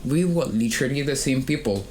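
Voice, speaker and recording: A young man from Kenya co-hosting a student podcast, speaking thoughtfully with frequent pauses and fillers. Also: mid-range voice, animated, darkish tone, a little hiss or hum. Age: young adult. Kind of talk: casual conversation.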